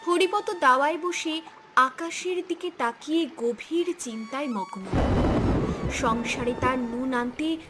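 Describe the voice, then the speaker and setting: A woman's voice: high-pitched, slow pace, background noise. A woman narrating a scripted cartoon story in a dramatic storytelling style.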